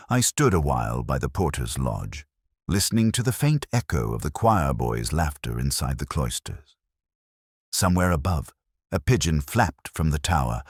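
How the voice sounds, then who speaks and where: Man playing reflective police inspector, audio mystery narration, brooding, literary, husky voice, close dry sound. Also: very deep voice, no echo, full character voice. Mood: brooding, observant. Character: brooding male police inspector recounting a murder case. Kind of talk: first-person scripted detective story narration.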